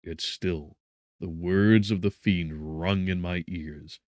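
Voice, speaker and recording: A man narrating a gothic novel as an audiobook in a solemn, measured, theatrical manner. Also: low voice, steady tone, a little mellow, no room sound, studio quality.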